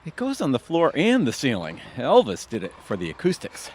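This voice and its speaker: A man acting in a comedic audio play as an excitable, scheming retired husband who bickers in a theatrical, exasperated way. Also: mid-range voice, a little fast, slight background noise. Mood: animated, scheming.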